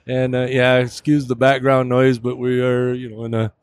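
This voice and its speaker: A man's voice: mid-range voice, completely flat delivery, moderate pace, smooth, dry recording. A man co-hosting a podcast, chatting casually in loose, easygoing phrases. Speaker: podcast co-host. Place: booth in a very large trade show hall. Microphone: borrowed podcast microphone.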